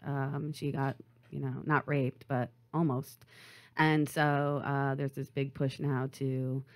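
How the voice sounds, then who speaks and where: A woman's voice: a bass voice, level tone, slow. A woman comedian chatting casually as a radio guest, rambling informally with lots of filler phrases. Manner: informal, rambling, peppered with "like" and "you know". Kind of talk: casual chat.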